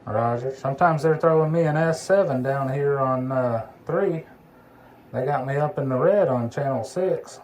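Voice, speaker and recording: A man's voice: medium pitch, moderate pace, darkish tone. A man chatting casually as a CB radio hobbyist while demonstrating a repaired radio. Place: indoor electronics workbench. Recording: clearly noisy workbench recording, background hiss.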